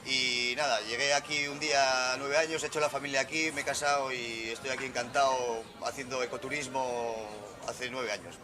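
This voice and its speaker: A man's voice: medium pitch, steady tone, a little fast. A man in Spain, chatting casually as an ecotourism guide. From Asturias, Spain. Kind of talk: casual interview. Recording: music loud behind voice. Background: music.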